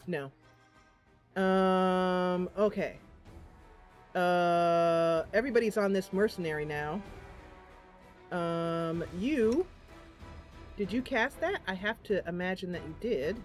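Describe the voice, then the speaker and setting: A woman's voice: on the low side, takes it slowly, long pauses, soft background music. A woman giving casual, chatty let's play commentary, thinking aloud and reacting as she plays. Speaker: gaming channel host.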